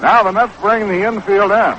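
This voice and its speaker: A man calling baseball play-by-play on 1960s radio, measured and descriptive, on an old broadcast recording. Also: high-pitched, steady tone, bright, forceful tone. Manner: measured, descriptive calls that quicken on action. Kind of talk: 1960s live radio sports commentary. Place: outdoor ballpark broadcast position. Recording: noisy, dull vintage 1960s radio broadcast.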